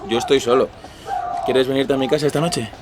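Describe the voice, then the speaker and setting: A man's voice: medium pitch, fairly even intonation, a little fast, very noisy, close to an everyday voice. An actor playing a pushy young male skinhead gang leader, blunt and coarse, in an audio drama phone call. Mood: insistent. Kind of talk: acted phone-call reenactment.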